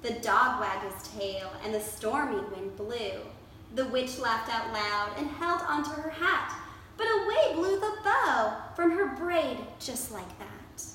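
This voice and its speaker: A woman's voice: fairly high pitch, expressive, fairly bright tone. A woman reading a rhyming picture book aloud like a teacher, expressively and rhythmically. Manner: measured, rhythmic rhyming delivery. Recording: very echoey room, distant mic, faint noise.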